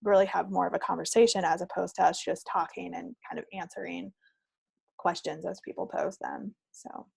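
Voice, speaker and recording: A woman's voice: on the low side, fairly even intonation, normal speed, creaky, darkish tone, slightly rough, dry recording. A woman co-hosting a craft podcast, chatting casually and without a script in a friendly way. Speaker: co-host of a knitting and crafts podcast. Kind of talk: casual unscripted podcast chat.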